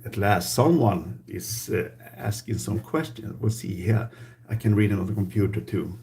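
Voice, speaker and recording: A man with a Swedish accent, a native Swedish speaker, hosting a casual live stream Q&A in halting English and searching for words. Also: lowish voice, warm tone. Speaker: livestream host.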